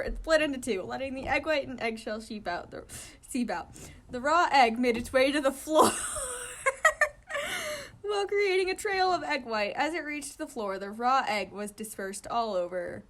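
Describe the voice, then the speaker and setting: A woman narrates amateur fiction in a casual, giggly way. Her voice sits a little high, is clearly bright and moves about a lot in pitch. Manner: giggly, animated. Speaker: fan fiction reader.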